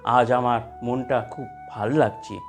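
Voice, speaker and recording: A man voicing an honest, calm, principled fruit seller in an animated story, speaking plainly and earnestly. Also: mid-range voice, unhurried, faint music behind, voice pushed down, natural voice. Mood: soft, content.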